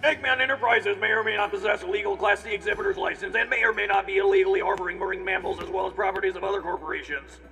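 Pompous mad scientist showman: high, forceful, gravelly, booming in long reverb. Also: steady tone, very fast, hardly any pauses, soft background music, heavily acted. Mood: hurried, shifty. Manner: booming announcer delivery. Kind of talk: park announcement in cartoon fandub. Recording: long echoing announcement reverb.

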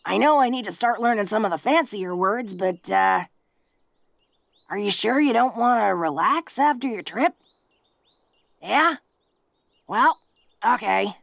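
A woman playing a tough but bashful, devoted orc girlfriend in an intimate audio roleplay, talking in an awkward, halting, self-deprecating way. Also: on the high side, very animated, creaky, a bit bright, no room sound, heavily acted. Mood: hesitant, willing.

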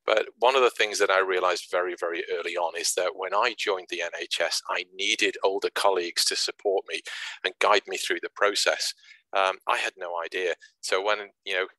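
A man in his sixties from Wales, giving a talk at an online meeting over a video call, speaking haltingly with many restarts and fillers. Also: deep voice, fairly even intonation, pushed and bright, no echo, low-quality recording. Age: 60s. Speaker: guest speaker. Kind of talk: presentation at an online branch meeting.

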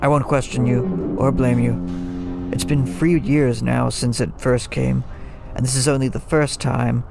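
A man's voice: mid-range voice, measured pace, creaky. A man playing a brooding, poetic old lighthouse keeper in an audio drama, musing like a storyteller. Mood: grave, secretive. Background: music.

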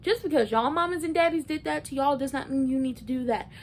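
A woman in her early twenties giving casual, opinionated and emphatic recap commentary, talking conversationally like a video blogger. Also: fast talker. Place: small bedroom, indoors. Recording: noisy room with low rumble.

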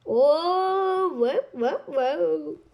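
A young girl chatting excitedly and casually as she hosts and commentates on a video game. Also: very high-pitched, drawn-out words. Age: child. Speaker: host of a gaming channel.

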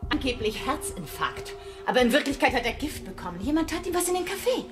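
A woman's voice: sits high, big pitch swings, quick pace, music in the background. An actress playing a distraught, defiant young mother in an audio thriller. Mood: menacing, desperate. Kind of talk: German audio thriller acting.

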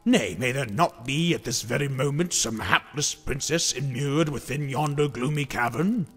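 An actor playing a courteous, earnest knightly saint in an audiobook drama, with formal, theatrical, archaic phrasing. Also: male voice, medium pitch, normal speed, husky, lightly acted. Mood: righteous, lofty. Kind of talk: amateur audiobook drama acting. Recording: long hall reverb, faint music.

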